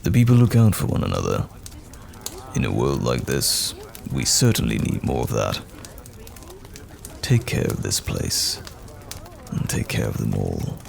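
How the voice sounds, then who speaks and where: A man playing a gruff but kindly knight general in a fantasy audio roleplay, speaking in hearty, archaic soldierly phrases with a gravelly voice. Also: wildly swooping pitch, a little slow, pauses often, full character voice. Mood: warm, fond. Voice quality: very deep, gravelly.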